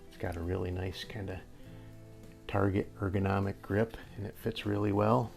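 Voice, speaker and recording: An older man with a slightly hoarse voice, rambling through a casual airgun review in a workshop and chuckling often. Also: sits low, flat delivery, moderate pace, a little mellow, soft background music. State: a little hoarse. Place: indoor workshop with tool wall. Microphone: camera at a few feet.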